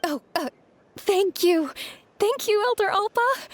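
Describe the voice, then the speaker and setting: Timid, very high-pitched young girl. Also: lively intonation, takes it slowly, a little hiss or hum, heavily acted. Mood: flustered, grateful. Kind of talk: video game voice acting.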